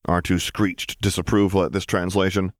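A man reading a story aloud as an audiobook narrator, in an expressive scripted style. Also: low voice, normal speed, dry recording, clean studio sound.

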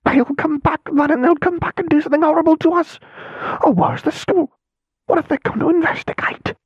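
A man's voice: extremely high pitch, a little fast, no echo, highs cut off, voice pushed up. A man voicing an anxious, spiteful middle-aged aunt, fretful and urgent, in a storybook reading. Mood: panicked worry.

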